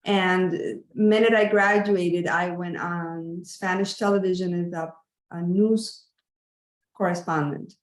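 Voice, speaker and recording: A woman from Cuba, a former television news correspondent, introducing herself casually with a measured, polished delivery. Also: on the low side, fairly even intonation. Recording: echoey room.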